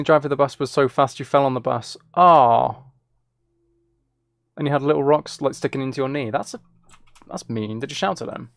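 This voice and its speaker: A man talks casually to his livestream audience in a mid-pitched voice with a noticeable creak. He rambles conversationally, circling back over phrases as he answers comments. The sound is dry, with very little room around it. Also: dry recording. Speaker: livestreamer chatting with viewers.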